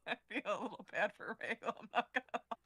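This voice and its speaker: A woman's voice: mid-range voice, brisk, sharp tone, husky, no echo. A woman who works as a sound designer chatting casually in a lighthearted, self-deprecating way. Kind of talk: casual chat.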